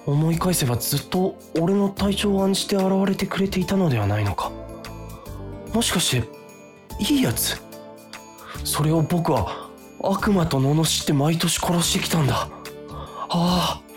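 A man's voice: fairly high pitch, lively intonation, normal speed, long pauses, close to an everyday voice. Radio comedy lead: male actor as young salaryman, self-pitying, fantasy-prone, overwrought inner monologue, music under. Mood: remorseful. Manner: overwrought, dramatic self-talk. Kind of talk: comic radio drama acting with inner monologue. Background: background music.

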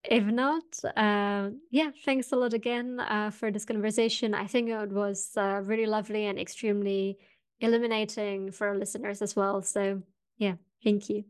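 A woman's voice: medium pitch, steady tone, normal speed, clean tone. A woman hosting a podcast, thanking her guest politely and hesitantly, with many fillers. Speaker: podcast host. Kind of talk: podcast interview wrap-up.

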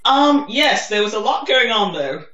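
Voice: mid-range voice, sing-song, normal speed, bright, forceful tone. A co-host in a casual chat reviewing a TV episode, opinionated and wry, swearing freely. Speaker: podcast co-host. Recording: roomy, distant, dull sound.

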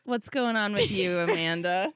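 A woman's voice: mid-range voice, moderate pace, a bit bright, clear, resonant tone, dry recording, clean studio sound. A woman co-hosting a podcast in casual chat, irreverent and exclamatory, often jumping in with short interjections. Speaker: podcast co-host.

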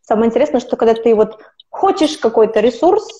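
A woman's voice: on the high side, animated, fairly quick, mellow. A woman in her twenties, a podcast guest talking casually and rambling, with frequent restarts and self-corrections. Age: 20s. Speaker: podcast guest, opera student. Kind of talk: casual conversational interview. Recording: dull lo-fi recording.

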